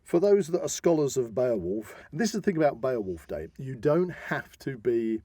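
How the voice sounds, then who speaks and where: A man's voice: medium pitch, very animated, moderate pace, dark tone, no echo, clean studio sound. A man explaining things enthusiastically in a casual podcast chat, often wandering into asides as he tells the story. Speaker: podcast host.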